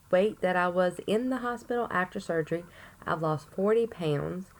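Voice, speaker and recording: A woman with a hoarse voice from a chest cold, rambling chattily to camera in a casual update video with plenty of ums. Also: fairly low pitch, darkish tone, slight background noise. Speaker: vlogger.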